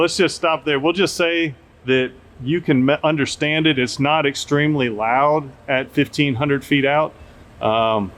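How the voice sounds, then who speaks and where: A man hosting a casual field test, talking off the cuff outdoors into a camera microphone. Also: medium pitch. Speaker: drone tester and video host. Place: outdoors under an open shelter at an airfield. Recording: noisy outdoor camera audio, steady ambient hum.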